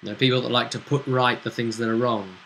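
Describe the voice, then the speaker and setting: A man with a bright, forceful voice and a medium pitch talks casually as a game master. He rambles through explanations of a tabletop session, with faint noise behind him. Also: steady tone, fast talker, creaky, low-quality recording. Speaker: game master, host of a role-play vidcast. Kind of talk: casual recap of a tabletop role-play session.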